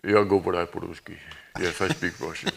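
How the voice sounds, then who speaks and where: A man in his eighties, a veteran guest reminiscing in plain-spoken, halting, folksy talk. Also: deep voice, fairly even intonation, creaky, fairly bright tone, a little hiss or hum. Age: 80s. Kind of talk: reminiscing in a conversation.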